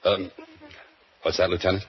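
Actor doing a wisecracking mystery writer sleuth, very deep voice, dry, muffled 1940s radio sound. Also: male voice, level tone, normal speed, music in the background, lightly acted. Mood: wry. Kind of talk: 1940s radio drama acting.

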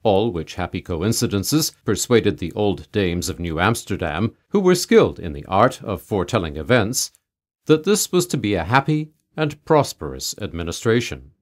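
A man reading a humorous old literary tale aloud as an audiobook narrator, in measured, mock-solemn storytelling. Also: lowish voice, big pitch swings. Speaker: audiobook reader.

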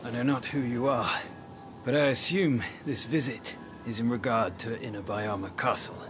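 Ailing young samurai scholar for a game, male actor; measured, grave, middle register. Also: medium pitch, steady tone, background noise, natural voice. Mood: weary, reserved. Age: young adult. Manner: formal, measured. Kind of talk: video game cutscene acting. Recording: loud music behind the voice.

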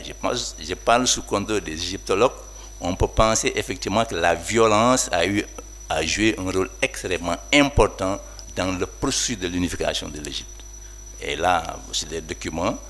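Man, very low voice, bright tone, measured and reflective, noisy recording. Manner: measured, reflective, hedging remarks. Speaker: conference speaker. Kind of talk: academic talk.

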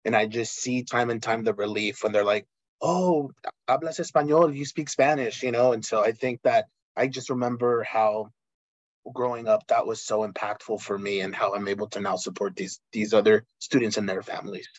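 A man's voice: fairly low pitch, fairly even intonation, normal speed, no echo. A man from Texas, speaking sincerely as a panelist on a video call.

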